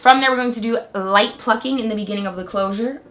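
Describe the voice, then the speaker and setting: A young woman vlogging a hair tutorial in a chatty, excited way, walking through each step, her voice a little raspy from a cold. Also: on the high side, fast, noisy background. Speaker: beauty vlogger. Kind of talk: casual hair tutorial and review.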